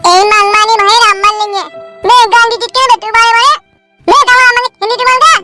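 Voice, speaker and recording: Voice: very high voice, steady tone, fast talker. An actor voicing an angry, foul-mouthed little boy in a cartoon comedy, brash and scolding. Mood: angry, threatening. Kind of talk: Bengali cartoon comedy dialogue. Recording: loud music under the voice. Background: loud music.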